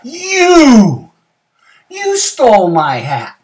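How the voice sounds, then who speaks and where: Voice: medium pitch, very animated, takes it slowly, recorded from a distance, voice pushed up. A reader voicing a polite, forlorn storybook bear searching for a lost hat, with plaintive, emphatic questions. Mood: outraged.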